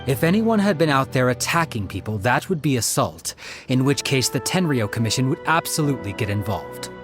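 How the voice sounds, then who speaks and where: Man playing a lively, swooping, earnest detective. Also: mid-range voice, brisk, soft background music, natural voice. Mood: explanatory. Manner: formal, explanatory, expressive. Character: polite, talkative male detective, earnest and businesslike. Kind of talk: video game voice acting.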